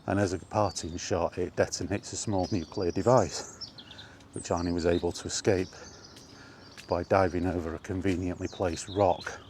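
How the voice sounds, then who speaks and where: Low-pitched man chatting over background noise. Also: fairly even intonation, moderate pace, a little mellow. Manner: conversational, rhetorical questions and asides. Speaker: vlog host. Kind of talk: casual film chat monologue. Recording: clear background ambient noise.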